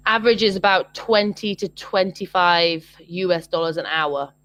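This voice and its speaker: A woman's voice: lowish voice, normal speed, a bit bright. Hesitant young British woman on a call. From Britain. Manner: hesitant, many ums and restarts. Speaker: online English tutor. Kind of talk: casual video call chat. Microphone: video call.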